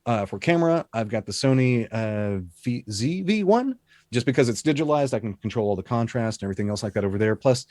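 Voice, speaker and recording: A man with a low voice, an esports caster talking through his home setup in an easygoing, detailed way over a video call. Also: animated, quick pace, a little mellow, no echo, clean studio sound. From Texas. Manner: easygoing, detailed, rambling explanations. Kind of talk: casual interview chat over video call. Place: home broadcast setup room. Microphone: studio dynamic mic on video call.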